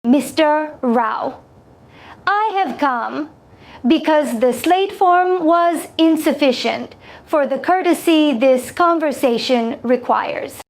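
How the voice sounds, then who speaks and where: Slow, high-voiced formal alien broker played by a woman. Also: expressive, exaggerated voice. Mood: formal courtesy. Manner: stiffly formal, deliberate phrasing. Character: formal, courteous senior alien broker, measured and exacting. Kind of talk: audio science fiction story dialogue.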